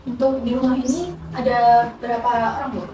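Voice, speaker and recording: A young woman speaks quickly in a high voice. She works through a polite, structured nurse interview, echoing answers back. The sound is echoey and noisy. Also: fairly even intonation. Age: young adult. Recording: echoey, noisy room, distant mic.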